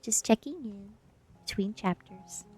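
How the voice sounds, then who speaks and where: A woman's voice: medium pitch, a little slow, darkish tone. A woman streamer reading a first-person story aloud in the style of an ASMR audiobook, acting out dialogue as she narrates. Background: music.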